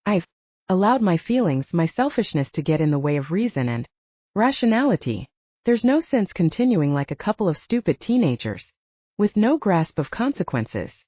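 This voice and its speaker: A brooding, restrained supernatural gentleman as acted by a woman in a romance audiobook. He speaks softly and formally, with a mellow tone in a dry, slightly dull recording. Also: very animated, moderate pace, no room sound. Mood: grave, restrained. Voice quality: soft, low. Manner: formal, grave, controlled. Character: brooding soft-spoken male supernatural lover, formal and restrained. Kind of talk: audiobook character dialogue.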